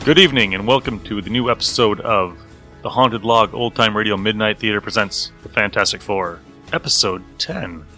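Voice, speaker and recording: A man's voice: on the low side, moderate pace, sharp tone. A man hosting a podcast, giving a casual, folksy introduction. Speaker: podcast host. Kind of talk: casual scripted introduction and plugs. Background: faint music.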